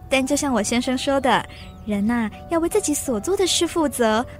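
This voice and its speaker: An earnest society lady in a literary radio drama. Her high voice is theatrical and expressive, over faint music. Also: moderate pace. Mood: earnest, reflective.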